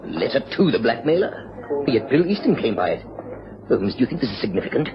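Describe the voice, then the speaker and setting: An actor playing a plain-spoken, inquisitive police superintendent, questioning and matter-of-fact, in a period radio drama. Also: male voice, mid-range voice, big pitch swings, brisk, crowd noise, natural voice. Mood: inquiring. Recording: muffled radio recording with clear hiss.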